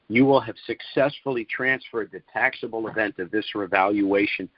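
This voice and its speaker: Muffled lo-fi call audio, guy with a lowish voice doing a measured sales pitch, dry sound, pauses for emphasis. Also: no echo. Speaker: presenter on a conference call. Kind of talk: sales presentation monologue. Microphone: conference call line. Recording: dull, lo-fi conference call audio.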